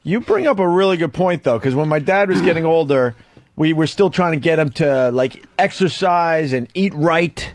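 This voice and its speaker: A man hosting a radio show, chatting casually and a little haltingly, with some swearing. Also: on the high side, expressive, no echo. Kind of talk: casual, profane radio banter. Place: radio studio.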